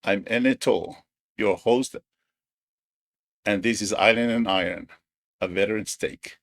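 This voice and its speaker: A man hosting his own show, talking in an unhurried, halting way as he searches for words. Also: fairly low pitch, no echo, studio quality. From Samoa. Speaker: podcast host. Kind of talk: casual solo commentary.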